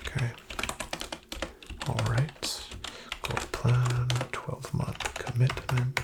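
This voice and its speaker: A man in a soft, breathy, mostly whispered ASMR roleplay as a financial advisor, speaking with reassuring, salesman-like politeness and hesitant pauses. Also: medium pitch, level tone, very noisy. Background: computer keyboard typing.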